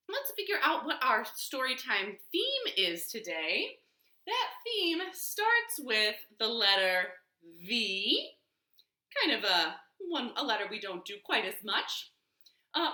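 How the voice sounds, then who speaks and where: Animated woman leading children's storytime. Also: medium pitch. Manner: animated, slow and clearly paced for young children. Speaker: children's storytime host at a library. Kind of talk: children's storytime with rhymes and picture-book reading. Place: living room at home. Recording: roomy, echoing home living room.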